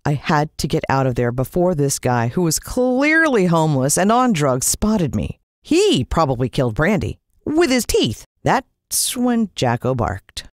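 A woman's voice: low voice, sing-song, measured pace, hardly any pauses, dry recording, clean studio sound. A woman with an American accent narrating a story in a dramatic, suspenseful way, drawing out words. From United States. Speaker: audiobook narrator.

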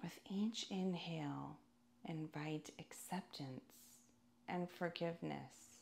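A woman teaching yoga, leading a guided meditation in a calm, soothing, deliberate way with pauses between phrases. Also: low-pitched, vocal fry, slight background noise.

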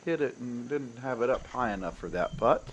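A man's voice: mid-range voice, expressive, moderate pace, noisy background. Stream host, man in his 30s, stuffy nasal voice, upbeat golf commentary, headset, slightly muffled audio. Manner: upbeat, welcoming, talks through his own shots. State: nasal, congested from a cold. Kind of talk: casual game commentary. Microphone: gaming headset.

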